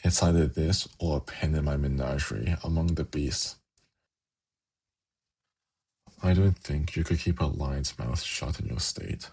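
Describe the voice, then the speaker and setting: Seductive demon prince, male actor, fantasy ASMR roleplay. Rumbling bass with heavy fry, slow, sly, intimate coaxing. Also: a little mellow, exaggerated voice. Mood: menacing teasing. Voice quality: gravelly, husky. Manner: slow, intimate, teasing, coaxing with formal wording.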